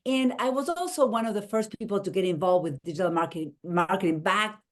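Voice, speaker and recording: A middle-aged woman from Argentina with an Argentine accent, a guest explaining her business approach in a call interview, earnest and a little halting. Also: mid-range voice. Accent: Argentine Spanish. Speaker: guest, marketing strategist.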